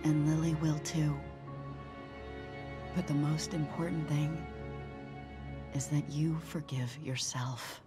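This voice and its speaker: An actress playing a gentle, forgiving woman in a video game, speaking tenderly and deliberately. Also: low voice, level tone. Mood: tender, consoling. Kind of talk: cinematic video game acting. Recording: music under the dialogue. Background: music.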